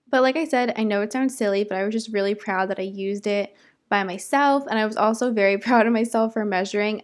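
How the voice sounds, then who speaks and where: A woman in her twenties with a cold-roughened voice, chatting casually through a home kitchen makeover vlog. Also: medium pitch. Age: 20s. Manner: chatty, self-deprecating, many ums. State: hoarse from a cold. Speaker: home DIY vlogger.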